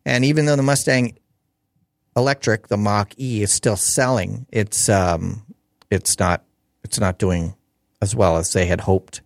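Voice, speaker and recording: A man hosting a call-in radio show, talking casually with lots of fillers and restarts, his voice hoarse. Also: lowish voice, measured pace, no echo, clean studio sound. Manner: rambling, chatty, many fillers and restarts.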